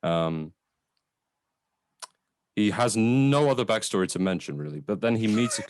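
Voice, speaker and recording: Low-pitched man in casual review chat. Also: lively intonation, normal speed, a little mellow, slight background noise. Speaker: podcast host.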